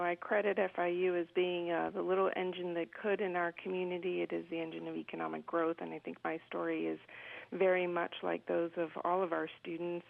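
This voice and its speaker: A woman's voice: lowish voice, creaky. A woman from Colombia, a business guest speaking earnestly and articulately in an interview, with frequent ums. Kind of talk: interview conversation. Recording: phone-like narrow radio sound.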